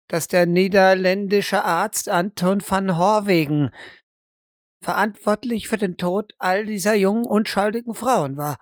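A woman's voice: mid-range voice, dry recording, studio quality, close to an everyday voice. A woman voicing an earnest television news reporter with grave, emphatic delivery in an audio role-play. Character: earnest female TV news reporter revealing a scandal.

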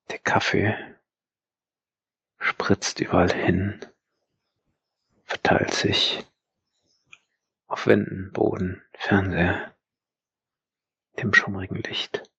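A man narrating an audio drama as a brooding veteran, in measured, halting phrases that trail into breath. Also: sits low, creaky, fairly bright tone, breathy edge, no room sound, exaggerated voice, rough recording. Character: brooding male veteran narrating his dark memories.

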